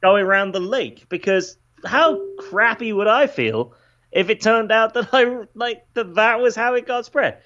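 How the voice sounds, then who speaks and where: A man's voice: high voice, animated, measured pace, fairly bright tone, slight background noise. A man calling in to a radio show for a casual chat, talking in a chatty, hedging, self-deprecating way over slightly muffled call audio. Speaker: radio station reporter calling in. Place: at home on a remote call. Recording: slightly dull, band-limited call audio.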